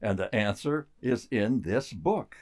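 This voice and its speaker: Man acting a gravelly, skeptical army colonel, swooping delivery. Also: lowish voice, lively intonation, unhurried, darkish tone. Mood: skeptical, brusque. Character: skeptical, brusque male military colonel. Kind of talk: stage-style radio drama acting.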